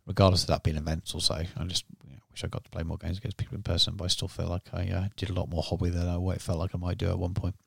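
A man's voice: deep voice, flat delivery, racing, gravelly, few pauses, husky, no room sound, studio quality. A man co-hosting a hobby podcast, talking casually and rambling with many ums and restarts. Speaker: podcast co-host.

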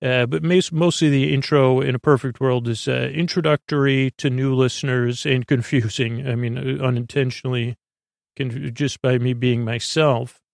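A man hosting a bedtime podcast in a soothing, meandering monologue, often halting and circling back to correct himself. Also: medium pitch, steady tone, creaky, no room sound, studio quality. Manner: meandering, halting, with trailing thoughts and self-corrections; soothing, lulling. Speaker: host of a bedtime sleep podcast.

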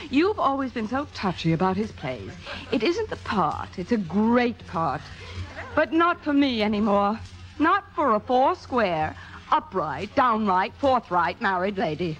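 An actress playing a mature, sardonic stage star in a 1950s radio drama, speaking with wry, theatrical emphasis. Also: female voice, mid-range voice, sing-song, measured pace, fairly bright tone. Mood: earnest, emphatic. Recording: muffled vintage radio broadcast.

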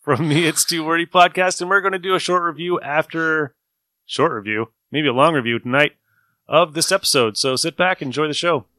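A man's voice: fairly high pitch, fairly quick, bright, forceful tone, dry recording. A man introducing a podcast episode as host, chatty and casual with joking asides. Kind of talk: casual scripted show introduction. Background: faint music.

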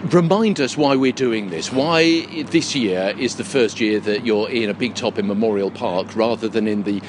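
A man's voice: lowish voice, normal speed. Chatty male podcast host, faint noise behind. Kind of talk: on-location podcast presenting and interviewing. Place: on location at a big top tent in a park. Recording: generator hum and outdoor background noise. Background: faint generator and ambient noise.

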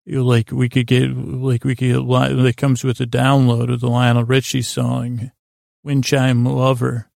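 Gravelly man rambling on a sleep podcast. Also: medium pitch, completely flat delivery, a little mellow, no echo, studio quality. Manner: meandering, halting, trailing off and doubling back. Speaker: podcast host.

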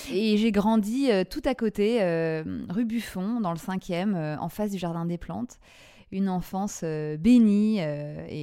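A woman with a Parisian French accent telling her life story casually as an interview guest, often pausing to search for words. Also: fairly low pitch, dark tone, clean tone. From Paris, France. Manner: hesitant, halting, searching for words.

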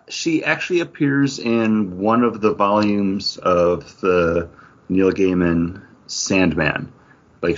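A man's voice: sits low, fairly bright tone, lo-fi sound. A man hosting a podcast, talking casually about comics and beer in a conversational way. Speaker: podcast host.

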